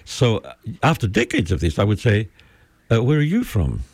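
Older Mexican-accented man, guest, animated intonation, dry close sound, searching and thoughtful. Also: fairly low pitch, fairly quick, husky, dry recording. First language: Spanish. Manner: thoughtful, searching for words, laughs often. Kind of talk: conversational interview.

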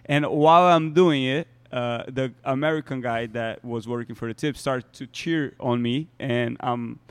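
Smooth-voiced man telling stories. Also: mid-range voice, moderate pace, no room sound. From Brazil. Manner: animated storyteller, many "you know" fillers. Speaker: podcast co-host, soccer player. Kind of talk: casual chat, storytelling.

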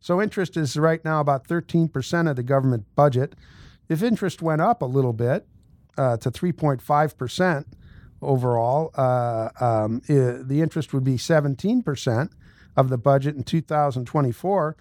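A man's voice: medium pitch, moderate pace, darkish tone, no echo. An American man in his sixties giving informal explanatory financial commentary, blunt and emphatic, repeating phrases for stress. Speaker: host, tax and accounting adviser.

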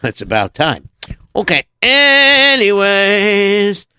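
A man's voice: high-pitched, huge pitch swings, takes it slowly, sharp tone, smooth, no room sound, clean studio sound. A man hosting a comedic children's story show, patient and dryly teasing toward his sidekick. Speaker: storyteller host.

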